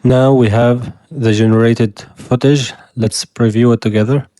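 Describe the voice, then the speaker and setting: A man whose first language is Arabic talks slowly through a screen-recorded tutorial. His voice is mellow and soft-edged. He explains one step at a time while he clicks. The sound is dry and close, with no room around it. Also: mid-range voice, fairly even intonation, no room sound. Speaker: tutorial host.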